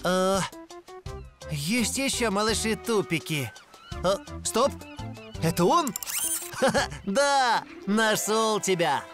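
A curious puppy boy from a cartoon, cheerful and giggly. He speaks slowly, with clear music behind him. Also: mid-range voice, expressive. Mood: cheerful, eager. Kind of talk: animated cartoon dub.

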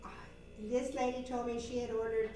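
A woman's voice: fairly high pitch, level tone, a little fast, warm tone, soft background music. A woman serving as a committee member, talking casually in a meeting discussion. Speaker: committee member, former mayor. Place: large indoor conference room, far microphone. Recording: distant echoey meeting room, noisy background.

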